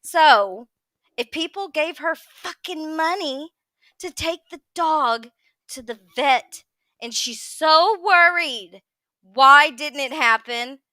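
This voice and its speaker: A woman with a high, forceful voice, recorded dry and close. She chats informally as a panelist, blunt and exasperated, swearing freely. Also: slow, no echo. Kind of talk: casual gossip chat.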